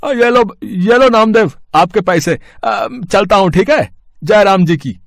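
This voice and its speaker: A man's voice: high voice, a little slow, no echo, clean studio sound, voice pushed up. A man voicing a friendly, slightly hesitant male customer in a cartoon story.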